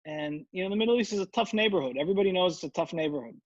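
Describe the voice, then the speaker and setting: An earnest man from Israel talks as a podcast guest, stopping often to hunt for the right word. His voice sits a little high. The recording is dry and dull, with a muffled lo-fi tone. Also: expressive, no room sound. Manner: earnest, searching for words with many pauses and fillers. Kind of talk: casual interview conversation.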